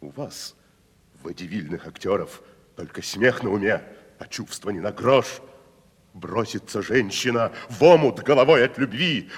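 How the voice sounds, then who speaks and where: An actor playing a proud, shabby middle-aged tragedian in a radio play, speaking pompously with declamatory dramatic pauses. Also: male voice, fairly low pitch, breathy edge, full character voice. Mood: stern, impassioned. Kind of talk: 1970s theatrical radio play acting. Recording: reverberant radio drama with faint music.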